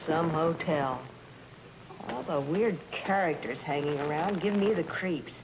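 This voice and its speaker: World-weary, complaining wife for period drama: sardonic, slangy, slightly low voice, faint background noise. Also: female voice, unhurried. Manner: sarcastic, slangy. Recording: faint noise on old broadcast.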